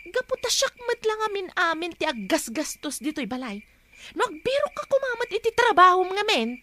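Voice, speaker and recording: High, bright, nagging Filipino wife. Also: female voice, sing-song, normal speed, a little hiss or hum, exaggerated voice. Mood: exasperated. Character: exasperated nagging schoolteacher wife, the household breadwinner. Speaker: actor in an audio drama. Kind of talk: Filipino radio drama acting.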